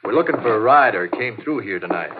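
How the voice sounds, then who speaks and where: Low-voiced, folksy Ranger sidekick played by a man. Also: animated, fast talker, background noise, lightly acted. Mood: sober. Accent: American. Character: plain-spoken male Texas Ranger partner, loyal and eager. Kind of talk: 1950s radio drama acting. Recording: muffled old radio recording, loud music.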